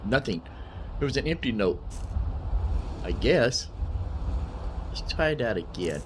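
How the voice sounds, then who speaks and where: A man's voice: medium pitch, sing-song, takes it slowly, background noise. A man giving casual gameplay commentary, rambling, thinking aloud and repeating himself as he goes. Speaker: gaming YouTuber.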